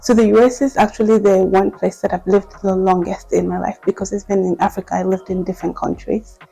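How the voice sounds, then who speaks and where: A woman's voice: mid-range voice, little pitch movement, a little fast, gravelly, warm tone, breathy edge, low-quality recording. A woman in her twenties, from the Democratic Republic of Congo, talking candidly and reflectively in a personal vlog, with lots of "like" and restarts. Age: 20s. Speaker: vlogger.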